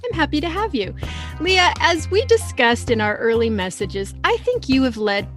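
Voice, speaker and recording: A woman's voice: high voice, big pitch swings, normal speed, fairly bright tone, faint music behind. A woman hosting a book podcast, chatty and upbeat, reading a scripted welcome before she starts interviewing her guest. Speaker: podcast host.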